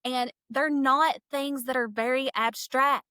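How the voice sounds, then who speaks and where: A woman, a political candidate speaking in an interview in an emphatic, upbeat way with quick bursts and frequent laughs. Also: sits high, fairly even intonation, pushed and bright, clean tone, no echo, clean studio sound. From Kentucky. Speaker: state senate candidate. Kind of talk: energetic campaign interview.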